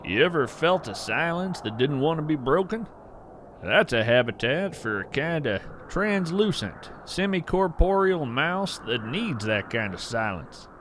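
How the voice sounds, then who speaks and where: A man playing an earnest wandering naturalist in scripted audio fiction, narrating in a measured, poetic way with deliberate pauses. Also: a little high, sing-song, a bit bright, slight background noise. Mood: quiet wonder. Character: earnest, wonder-struck wandering naturalist of hidden creatures. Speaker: podcast host narrator in character.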